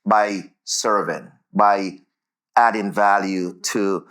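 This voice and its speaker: A man's voice: on the low side, steady tone, slow, fairly bright tone. A man with a Jamaican accent answering interview questions conversationally, repeating words as he goes and often checking in with "right?".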